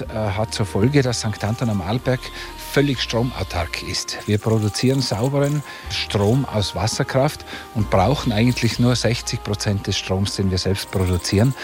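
A man from Tyrol with a Tyrolean Austrian accent, talking conversationally as a local guide. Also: on the low side. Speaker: local guide being interviewed. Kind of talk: conversational guided commentary on the region.

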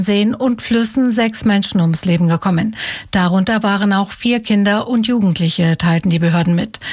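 Female newsreader, standard German, medium pitch, scripted bulletin delivery. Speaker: radio newsreader. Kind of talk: scripted news reading.

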